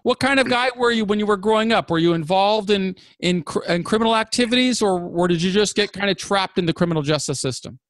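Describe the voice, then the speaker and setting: A man's voice: high-pitched, fast talker, few pauses, a bit bright, no echo, studio quality. A man hosting a podcast, reading an intro and then asking a guest encouraging questions. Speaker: podcast host. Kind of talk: scripted intro and interviewing.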